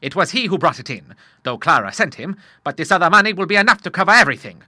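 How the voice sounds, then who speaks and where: An old Admiral played by a male reader from an audiobook, bluff, boisterous and proudly fatherly. The voice is very forceful and sharp, swinging widely in pitch, and it is recorded close and dry. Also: very animated, fast talker, dry recording, heavily acted. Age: older. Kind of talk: audiobook character voice.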